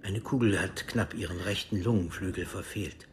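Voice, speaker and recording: Cool, low-voiced male officer. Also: darkish tone, a little hiss or hum. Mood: cool, formal. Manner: formal, measured, detached. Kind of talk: radio drama acting.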